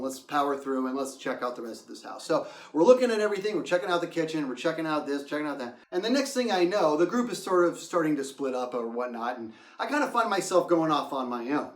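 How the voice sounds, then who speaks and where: Male storyteller, high and very animated, theatrical casual delivery, recorded off-mic in a roomy space. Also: distant mic. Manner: theatrical, acts out quotes and reactions. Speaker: storyteller, host of the show. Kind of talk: animated casual anecdote telling.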